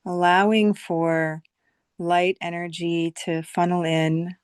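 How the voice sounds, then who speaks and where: A woman leading a guided visualization meditation as a teacher, speaking soothingly in short phrases with long, unhurried pauses. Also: fairly low pitch, flat delivery, clear, resonant tone, dry recording, studio quality.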